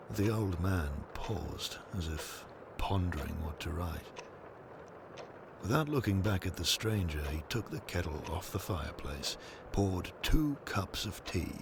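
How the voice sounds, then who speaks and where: A man's voice: low-pitched, vocal fry, background noise. A man reading scripted, storybook-style narration for an audio drama.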